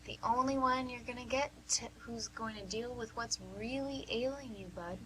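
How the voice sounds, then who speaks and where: A woman's voice: on the high side, lightly acted, rough recording. A woman acting as a wry, knowing nurse who teases and probes in an amateur radio play, recorded with some hum. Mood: knowing, teasing. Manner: teasing, probing, deliberate.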